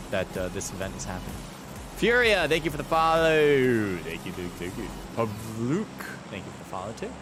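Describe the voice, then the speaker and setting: Male livestream esports caster, mid-range voice, chatty and self-deprecating, clear music bed underneath. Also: medium pitch. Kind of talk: casual live game commentary and chat. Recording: music behind the voice.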